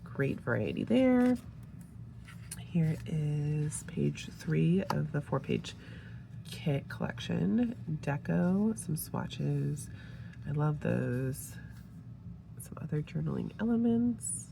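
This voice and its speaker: A woman getting over a head cold, sounding a little nasal, casually showing off a sticker kit with relaxed, gushing asides. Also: deep voice, takes it slowly, vocal fry, slight background noise. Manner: relaxed, gushing, with fillers and soft trailing asides. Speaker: planner sticker reviewer. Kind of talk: casual show-and-tell narration.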